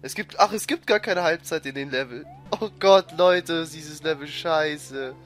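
A man with a cold giving excitable, frustrated commentary over a platform game he is playing. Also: on the high side, unhurried. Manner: frustrated, excitable, swears and laughs. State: has a cold, coughing. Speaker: let's player. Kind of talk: gameplay commentary. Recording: faint game music behind. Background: game music.

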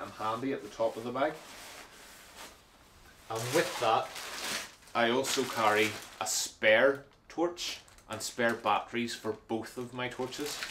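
A man's voice: mid-range voice, background noise. A man casually walking through his winter hiking kit, explaining each item with plenty of fillers and repeated words. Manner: relaxed, practical, frequent fillers and repeated words. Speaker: hiking vlogger. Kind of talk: casual gear walkthrough.

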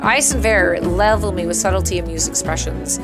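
A young woman livestreaming a game playthrough, reading its text aloud and reacting in a playful, crude, expressive way. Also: lowish voice, moderate pace, vocal fry. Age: young adult. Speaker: game streamer. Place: small home room, indoors. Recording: music behind the voice. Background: game background music.

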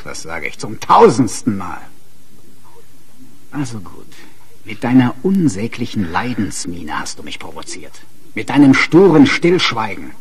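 A man's voice: medium pitch, a little mellow, breathy edge, full character voice. An actor playing a domineering, abusive husband in a German radio crime drama, sarcastic and hectoring as he talks. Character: domineering, sneering, abusive husband who bullies his wife.

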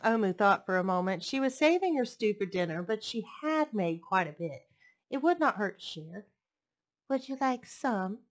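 Animated young woman reading to children. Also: mid-range voice. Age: young adult. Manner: animated, deliberate phrasing for young listeners. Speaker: library storytime host reading picture books. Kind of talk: expressive children's story read-aloud. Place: indoors, furnished home room. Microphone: headset microphone.

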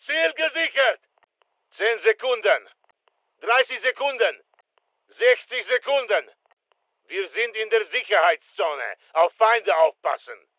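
A man's voice: sits high, sing-song, slow, pauses often, no echo, phone-quality sound, full character voice. An actor playing a terse, disciplined soldier who reports to his squad in short clipped phrases in a video game. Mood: businesslike. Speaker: military game character. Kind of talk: video game combat callouts.

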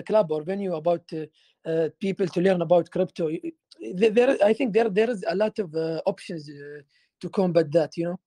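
A man with a high, mellow voice answers questions in halting fashion, stumbling over restarts and fillers. The audio is close and dry. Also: dry recording. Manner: hesitant, halting, many fillers. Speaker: crypto project team member answering questions. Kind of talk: casual Q&A answers.

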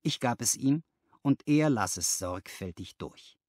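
A man's voice: mid-range voice, expressive, a little slow, a little mellow, no echo, clean studio sound. A man reading a story aloud as an audiobook narrator, in scripted first-person narration.

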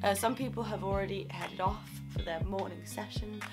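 A young woman talks casually to a handheld camera in a small student flat, her voice a little croaky. She is upbeat and laughs often, and music plays clearly under her voice. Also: medium pitch, normal speed. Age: 20s. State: slightly croaky voice. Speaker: drama school student vlogger. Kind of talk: casual vlog chat. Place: small student flat bedroom and kitchen, indoors. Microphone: camera mic at arm's length.

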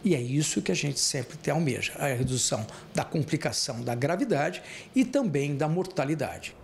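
Gravelly middle-aged Brazilian man. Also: fairly high pitch, big pitch swings, moderate pace, slight background noise. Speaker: clinic director interviewee. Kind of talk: interview explanation. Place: small clinic room. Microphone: handheld interview microphone.

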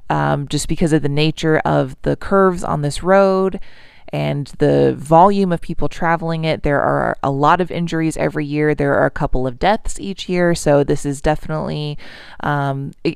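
Smooth, dry-voiced woman vlogger. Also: sits low, dry recording. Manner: relaxed, explanatory, with frequent ums. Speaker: motovlogger. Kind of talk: casual voice-over narration.

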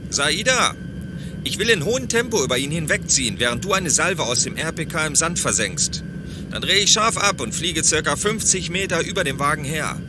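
A man playing a brisk, confident combat helicopter pilot in a German audio drama. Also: fairly high pitch, expressive, natural voice. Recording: loud helicopter engine noise behind.

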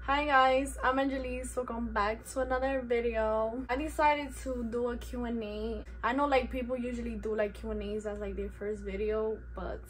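A teenage girl from New York with a New York accent, Spanish her first language, chatting in a relaxed, slangy way in a bedroom vlog Q&A. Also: fairly high pitch, normal speed, few pauses, a little hiss or hum, low-quality recording. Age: teens. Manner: laid-back, slangy, self-teasing. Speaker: YouTuber. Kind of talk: casual Q&A vlog. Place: bedroom, indoors.

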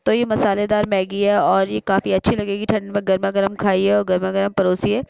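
Hoarse, gravelly-voiced woman vlogging. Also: mid-range voice, monotone, a little fast, darkish tone, slight background noise. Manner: chatty, explains step by step to viewers. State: hoarse from a sore throat. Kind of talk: casual cooking vlog narration. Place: home kitchen. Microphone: phone camera. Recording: slightly muffled phone audio.

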